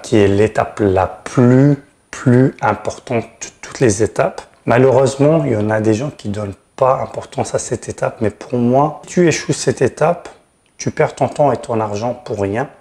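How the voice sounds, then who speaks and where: A man's voice: medium pitch, darkish tone. A man from Tunisia, speaking as host and consultant in an explanatory advice video, frankly and directly, stressing key words. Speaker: channel host, regulated immigration consultant.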